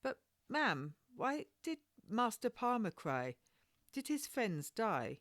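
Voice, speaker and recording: Woman voicing a high-pitched curious little servant girl. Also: very animated, slow pace, a bit bright, dry recording, clean studio sound, full character voice. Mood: worried. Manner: questioning, eager. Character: young girl servant, curious, anxious, full of questions.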